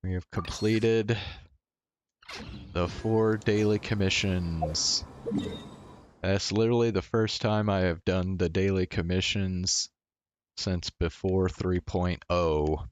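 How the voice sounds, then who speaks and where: Man, very low pitch, unhurried pace, musing livestream talk, hesitant, trailing off. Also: fairly even intonation, slight background noise. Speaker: video game streamer. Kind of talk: casual livestream chat to viewers. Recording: game music behind the voice. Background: video game music.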